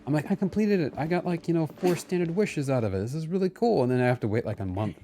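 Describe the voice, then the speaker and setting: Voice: medium pitch, lively intonation, fast talker, warm tone, a little hiss or hum. A casual video game streamer chatting with friends in an easygoing, exclamatory way. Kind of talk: casual gaming chat with friends. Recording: game music behind. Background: video game music.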